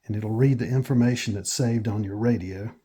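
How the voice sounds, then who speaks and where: An American man walks through instructions as a tutorial host. His voice is soft-edged and husky, with a dark, relaxed tone. He speaks casually and matter-of-factly, pausing as he goes from step to step. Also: lowish voice.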